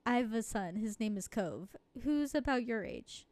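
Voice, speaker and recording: A woman's voice: fairly high pitch, lively intonation, moderate pace, darkish tone, no echo, natural voice. A woman voicing a friendly, eager and slightly awkward dad from a visual novel. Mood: hopeful, awkward. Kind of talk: visual novel character read aloud.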